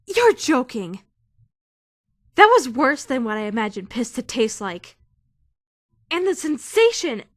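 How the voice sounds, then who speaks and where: A woman's voice: sits high, a bit bright, dry recording, studio quality, exaggerated voice. A woman playing a prim, bookish young student who is easily indignant, in a fan-dubbed visual novel with formal, theatrical delivery. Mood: appalled, defiant. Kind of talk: first-person visual novel fan dub narration and dialogue. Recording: music behind the voice.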